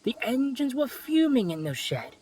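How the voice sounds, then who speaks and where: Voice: high voice, big pitch swings, moderate pace, darkish tone, breathy edge. A narrator reading a scripted children's railway story. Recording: music under the voice.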